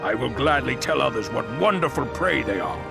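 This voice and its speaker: An actor playing a proud, blunt, boastful warrior hunter in a fantasy video game. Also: male voice, mid-range voice, expressive, fairly quick, creaky. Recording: game cinematic with music behind.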